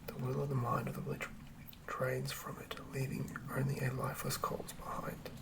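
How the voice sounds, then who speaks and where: A man reading fantasy lore as an ASMR narrator in a hushed, breathy, gravelly whisper, measured and with pauses. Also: medium pitch, monotone. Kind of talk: ASMR whispered read-through of a fantasy monster manual.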